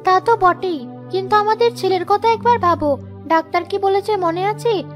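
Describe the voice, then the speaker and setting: A woman's voice: extremely high pitch, normal speed, faint music behind. A woman voicing a worried, poor young wife and mother in a cartoon moral tale, with a fretful, pleading manner.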